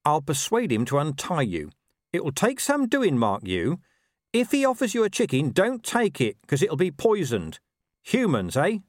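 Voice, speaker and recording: Guy doing a scruffy cynical talking dog for an audiobook, wheedly and sly, higher pitched, bouncy intonation. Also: wildly swooping pitch, moderate pace, no room sound, clean studio sound. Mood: bullying, conniving. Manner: colloquial, sly, world-weary asides.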